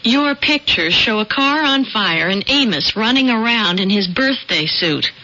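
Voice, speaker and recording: A woman's voice: on the high side, animated, unhurried, pushed and bright, exaggerated voice. An actress playing a skeptical, teasing young small-town woman in a radio drama, wry and direct. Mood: wry, challenging. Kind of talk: 1970s radio drama acting. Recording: dull old radio broadcast, faint music.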